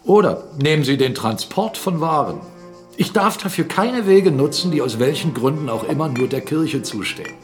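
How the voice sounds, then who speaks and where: An actor playing a blunt, argumentative captain and mill owner in a German radio drama, speaking emphatically. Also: male voice, on the high side, animated, normal speed, faint music behind, natural voice. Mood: defiant, heated. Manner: argumentative, emphatic, rhetorical questions. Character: blunt, defiant male mill owner and captain, critical of church.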